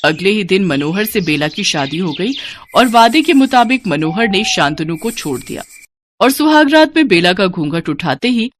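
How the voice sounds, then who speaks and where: A woman's voice: mid-range voice, expressive, normal speed, a little hiss or hum. A woman storyteller reading scripted fairy-tale narration for a cartoon in a dramatic storytelling manner.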